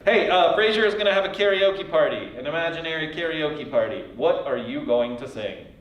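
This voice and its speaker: A man's voice: on the high side, animated, brisk, dull audio. A man hosting an interview, asking upbeat questions in a casual chat. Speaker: interview host. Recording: very echoey room from a distance.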